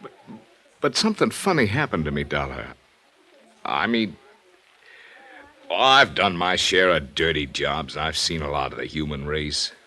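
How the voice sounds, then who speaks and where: A hardened American hired man shaken by love, in vintage radio drama style. The voice is deep, and he talks haltingly, full of remorse, like someone confessing. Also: expressive, pushed and bright, exaggerated voice. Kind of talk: 1950s radio drama acting.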